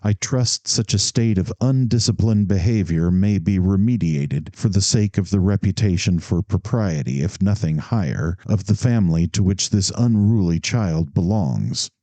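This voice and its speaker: A man voicing a pompous older male neighbor, reading out a formal complaint letter slowly and indignantly. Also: low voice, expressive, warm tone, dry recording.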